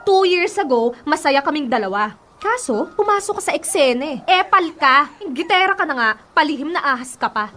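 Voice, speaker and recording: A Filipino actress playing a bitter, sarcastic young mistress out for revenge in a Tagalog radio drama. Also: female voice, high-pitched, pushed and bright, heavily acted. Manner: sarcastic, taunting.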